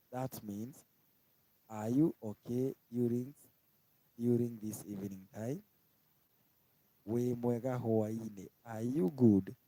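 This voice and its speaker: Man, Kenyan accent, unhurried repetitive teaching, dry close sound. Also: medium pitch, warm tone, dry recording, poor audio. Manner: slow, repetitive, spells out each word twice. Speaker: language teacher. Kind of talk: informal live-stream language lesson.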